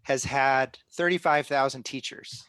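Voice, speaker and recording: A man's voice: mid-range voice, steady tone, measured pace, a bit bright, clean tone, no room sound. Male host explaining with frequent restarts. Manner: explanatory, many restarts and fillers. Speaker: host of a live citizen-science stream. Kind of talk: explaining to an online audience.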